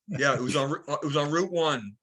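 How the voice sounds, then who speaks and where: Bright-voiced man hosting a group call. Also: a little high, fast. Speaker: host of a club tech conference call. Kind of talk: casual group discussion.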